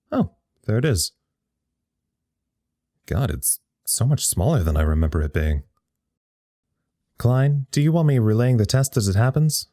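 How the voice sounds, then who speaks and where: Male actor as low-voiced communications officer, sardonic, bored, rambling, hesitant, dry close sound, audio drama. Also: warm tone, no echo, lightly acted. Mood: brisk, curious. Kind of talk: naturalistic audio drama acting.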